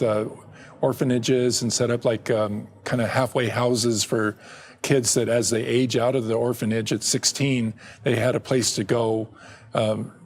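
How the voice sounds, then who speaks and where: Soft-spoken older man, halting studio guest. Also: mid-range voice. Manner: halting, with many restarts and fillers. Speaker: interview guest, ministry director. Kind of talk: candid interview conversation. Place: television studio set. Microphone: lapel mic.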